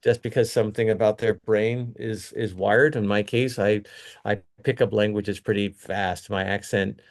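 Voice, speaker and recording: Exec guy on an interview, lowish voice, kinda rambly, keeps restarting sentences. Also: fairly even intonation, normal speed. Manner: earnest, rambling, frequent restarts and fillers. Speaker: company executive guest. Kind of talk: conversational interview answers.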